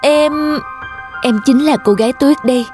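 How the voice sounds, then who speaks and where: A woman voicing a gentle young snow maiden with a tender, solemn manner in a fairy-tale cartoon. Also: sits high, a little slow. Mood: sorrowful. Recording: music behind the voice.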